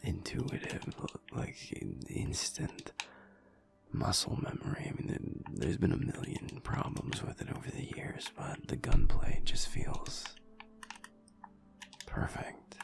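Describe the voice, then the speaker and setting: A man playing a sad, wistful young alpha werewolf in an intimate audio roleplay, talking haltingly in a low, rumbly half-whisper. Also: sing-song, gravelly, full character voice. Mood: wistful, fond. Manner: halting, hesitant, trailing off.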